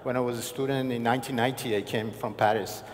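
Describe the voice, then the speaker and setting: A man's voice: mid-range voice, steady tone. Wry political philosopher man in a hall. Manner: self-deprecating, wry, halting. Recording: reverberant hall with faint noise.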